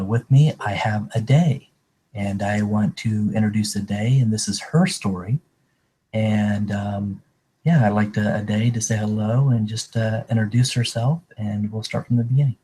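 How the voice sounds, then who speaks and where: A man's voice: on the low side, fairly even intonation, normal speed, dark tone, breathy edge. A man hosting a casual interview, introducing his guest in a hesitant way with many fillers. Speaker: host.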